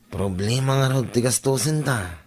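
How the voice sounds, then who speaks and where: A man with an Ilocano accent playing a smooth-talking boyfriend who coaxes his sweetheart in a radio drama. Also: mid-range voice, normal speed, close to an everyday voice. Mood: coaxing. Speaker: actor in an audio drama.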